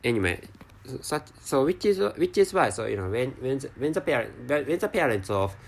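A man with a Japanese accent, a native Japanese speaker, talking alone on his podcast in slow, halting English full of restarts and repeated words. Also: mid-range voice, big pitch swings. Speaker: podcast host. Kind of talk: casual solo podcast monologue.

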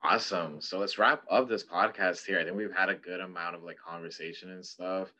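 A man's voice: low voice, fairly even intonation, a little fast, bright, forceful tone. A man hosting a podcast, wrapping up with loose, filler-heavy questions to his guests. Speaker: podcast host. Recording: dull muffled audio.

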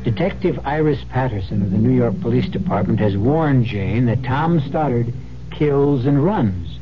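An American man hosting a radio suspense drama, narrating in a deliberate, formal and ominous manner. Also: medium pitch, animated, background noise. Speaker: host and narrator. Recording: muffled old radio recording over music.

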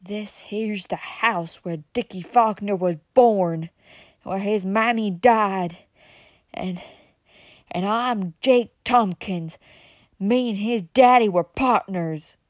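Woman doing a hoarse moonshiner. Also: sits high, slow, no room sound, studio quality. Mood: awed, frightened. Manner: drawling backwoods dialect, hoarse frightened whispers. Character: rough old mountain moonshiner, superstitious and loyal.

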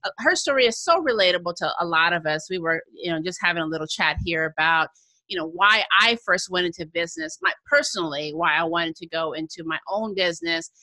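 A woman's voice: on the low side, expressive, a little fast, bright, forceful tone, dry recording, rough recording. An American woman hosting a podcast in a conversational interview style. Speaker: podcast host.

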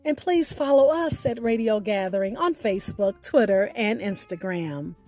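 A woman hosting a storytelling podcast, speaking in a measured, deliberate way as if from a script, with a poetic touch. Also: mid-range voice, animated, a little mellow, soft background music. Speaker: podcast host. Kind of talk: scripted radio show sign-off with spoken poetry.

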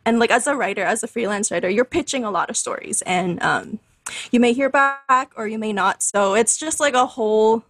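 A woman in her twenties, a podcast guest telling her story in a chatty, animated way, full of fillers and laughs. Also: on the high side, moderate pace, no room sound. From Canada. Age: 20s. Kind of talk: casual interview storytelling.

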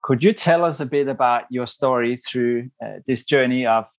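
A man hosting a podcast, reading a measured, clearly worded introduction before interviewing his guest. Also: mid-range voice, no room sound. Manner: measured, clearly read introduction, warm and formal. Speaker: podcast host. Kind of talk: scripted intro then interview.

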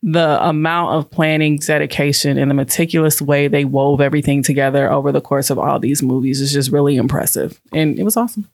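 Higher-pitched man, close dry sound. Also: fairly even intonation, a little fast, gravelly, hardly any pauses, no room sound. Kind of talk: casual group chat.

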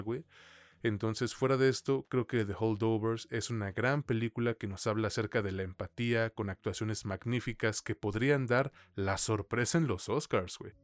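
A man's voice: fairly low pitch, no room sound. A man with a Mexican accent hosting a film review podcast in a colloquial, slangy way. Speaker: podcast host.

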